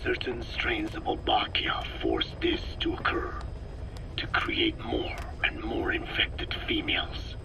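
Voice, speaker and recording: An actor playing a cold, older male scientist who lectures slowly in a gravelly whisper, on a game audio tape. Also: on the low side, big pitch swings, full character voice, rough recording. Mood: clinical. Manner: slow, lecturing, measured. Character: cold elderly male parasite scientist, calm and clinical. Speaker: scientist explaining parasites. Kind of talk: game audio log acting.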